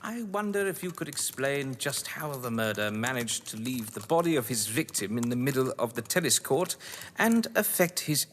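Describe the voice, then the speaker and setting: A man's voice: mid-range voice, fairly bright tone. A man voicing a humble, baffled police inspector in a detective story, deferential and puzzled. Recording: long reverberant hall echo.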